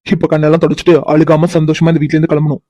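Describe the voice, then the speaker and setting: A man playing a tender, protective man holding back sadness, speaking gently and reassuringly in an emotional audio drama. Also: fairly high pitch, monotone, racing, dry recording, close to an everyday voice. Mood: restrained sadness.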